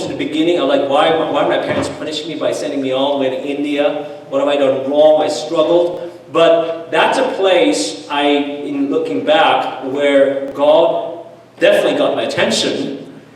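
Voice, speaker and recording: A man tells a personal story at a church seminar, speaking with lively restarts and filler words. His voice carries a long, booming reverb that fills the room. He sounds distant from the microphone, with faint room ambience behind him. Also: moderate pace. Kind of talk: personal testimony talk. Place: church hall. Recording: echoey hall, far from the mic.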